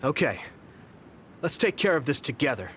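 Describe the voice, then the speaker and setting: Earnest young man with lively pitch. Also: normal speed, slight background noise. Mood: wistful, friendly. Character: earnest, gentle, idealistic young male fighter. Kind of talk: English video game voice acting.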